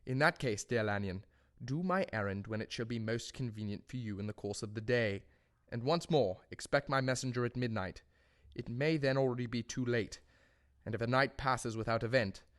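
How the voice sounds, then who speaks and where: A man playing a desperate gentleman doctor in an audio drama, delivering a formal, grave, pleading letter. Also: medium pitch, close to an everyday voice. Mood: urgent pleading.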